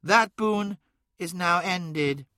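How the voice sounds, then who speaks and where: An ancient, boastful demon god speaks in a strikingly high, bright male voice. It is grandiose and commanding, taunting its listener. The sound is close and dry. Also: extremely slow, no room sound, exaggerated voice. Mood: taunting, menacing.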